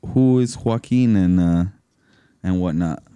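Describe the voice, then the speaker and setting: A man hosts a podcast in a low, mellow voice with a clean, smooth tone. The sound is close and dry, and he talks in casual, slangy chat. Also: measured pace, dry recording, soft background music. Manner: informal, slangy. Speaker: podcast host.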